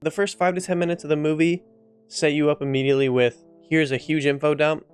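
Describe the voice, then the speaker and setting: A man's voice: on the high side, flat delivery, brisk, smooth, faint music behind. A man giving casual opinion commentary as a video host, informal and profane, often repeating himself for emphasis.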